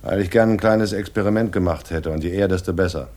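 An actor playing a gruff police inspector with dry, understated humour in a crime radio drama. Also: male voice, deep voice, fast talker, darkish tone, lightly acted. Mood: dry, businesslike. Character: gruff, dryly humorous male police inspector.

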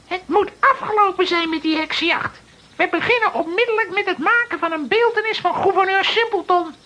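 A woman playing a bossy, energetic witch who orders others about in a children's radio play. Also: very high voice, brisk. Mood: indignant.

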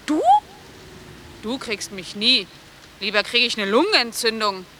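Brash, high-voiced sarcastic woman hitchhiker. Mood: mocking, exasperated. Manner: abrasive, theatrical, swinging between taunts and pleading. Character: brash, sarcastic, volatile female hitchhiker, restless and mocking. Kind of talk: German radio drama acting. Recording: faint noise, early-1980s radio drama tape.

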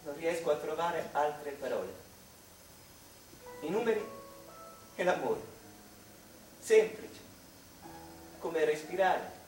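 Actor as slow, melancholy mathematician in echoey room. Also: male voice, on the high side, lively intonation, a little mellow. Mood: wistful. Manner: wistful, halting, theatrical. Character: melancholy male mathematician confiding in his mother. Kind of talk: dramatic stage monologue. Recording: echoey room, distant mic, music behind.

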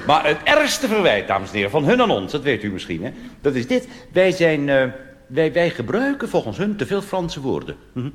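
A man's voice: fairly high pitch, very animated, normal speed, fairly bright tone. A Dutch male cabaret performer delivering a live stage monologue with dry, conspiratorial asides and chuckles to the audience. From Netherlands.

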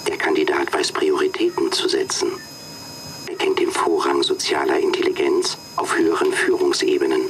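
A man's voice: very deep voice, flat delivery, normal speed, fairly bright tone, slight background noise. A man playing a cool, formal computer program that interviews job candidates in a radio drama, clipped and impersonal. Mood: detached, evaluating.

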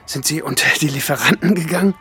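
An actor playing a courteous, sinister, wealthy film aesthete in a radio drama, speaking in a measured, formal way. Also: male voice, fairly high pitch, a crowd in the background, close to an everyday voice. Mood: guarded courtesy.

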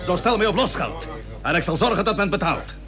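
An actor playing a plucky, stubborn young seafarer and merchant in a period radio drama, speaking earnestly in formal old-fashioned phrases. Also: male voice, high voice, lively intonation, brisk, bright, forceful tone, full character voice. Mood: firm, unyielding. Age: young adult. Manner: earnest, formal archaic speech. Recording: noisy old radio recording with hum.

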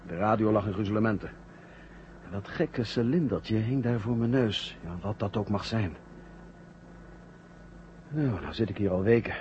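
An actor playing an anxious, bewildered stranded astronaut, halting and earnest, in a 1970 radio drama. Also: male voice, on the low side, darkish tone, lightly acted. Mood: troubled, bewildered. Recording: dull, noisy 1970 radio drama recording.

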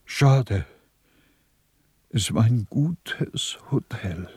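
An actor in a radio play voicing a weary, shrewd small-time dealer with a rough, resigned way of talking. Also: male voice, on the low side, animated, drawn-out words, a little mellow, no echo, exaggerated voice. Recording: dry studio with faint music.

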